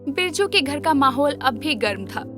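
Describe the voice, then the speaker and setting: A woman giving scripted storybook narration as the narrator of an animated tale. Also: high voice, moderate pace, music in the background.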